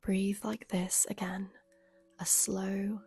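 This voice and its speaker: A woman leads a guided meditation in a soft, breathy voice with some gravelly fry at the edges. Her pace is very slow and her pitch barely moves, giving a soothing, steady delivery. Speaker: yoga nidra teacher, meditation guide.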